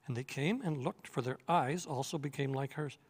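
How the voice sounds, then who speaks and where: A man in his sixties reading a children's novel aloud in a measured, steady storytelling manner, seated in an armchair on a studio set. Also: medium pitch, slightly rough, in a large hall. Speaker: reader.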